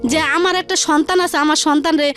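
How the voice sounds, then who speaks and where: A woman's voice: sits high, steady tone, a little fast, a bit bright. A woman with a colloquial Bangladeshi Bengali accent telling her personal story as a radio interview guest, in a rambling, repetitive way. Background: music.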